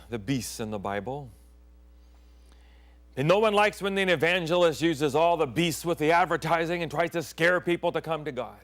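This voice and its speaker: A middle-aged man who grew up in Thailand, a pastor hosting his own program, telling stories in a sermon and often dropping to hushed tones and whispers. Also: a little high. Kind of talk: storytelling sermon.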